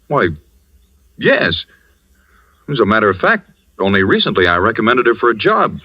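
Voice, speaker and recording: A pompous wealthy host. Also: male voice, lowish voice, very animated, normal speed. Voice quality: pompous, overbearing. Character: pompous, smooth wealthy male party host. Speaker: radio drama actor. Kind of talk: 1940s radio acting. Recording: lo-fi vintage radio recording.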